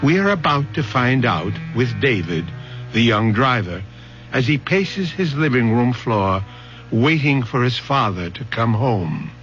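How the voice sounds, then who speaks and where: Animated, theatrical male radio host. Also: mid-range voice. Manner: theatrical, portentous, measured delivery. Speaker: host and narrator. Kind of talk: dramatic scripted radio narration. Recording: dull old radio broadcast with music.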